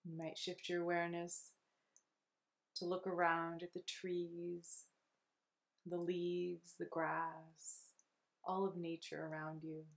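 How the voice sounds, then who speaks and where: Low, even-toned woman guiding meditation. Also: vocal fry. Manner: soothing, unhurried, gently instructive. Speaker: meditation guide. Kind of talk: guided meditation.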